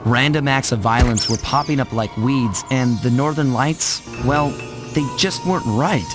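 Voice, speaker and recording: Man voicing a cool young raccoon thief over loud music. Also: medium pitch, unhurried, natural voice. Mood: cool, wry. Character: suave young male raccoon master thief, cool and confident. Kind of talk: cartoon video game narration and dialogue. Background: loud game music.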